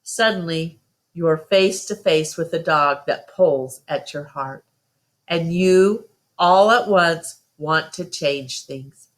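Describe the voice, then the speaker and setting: A woman's voice: low voice, slow pace. Stern country father, voiced by a woman reader. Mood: sharp, scolding. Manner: sharp, admonishing, folksy phrasing. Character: stern, principled country father, sharp with his son.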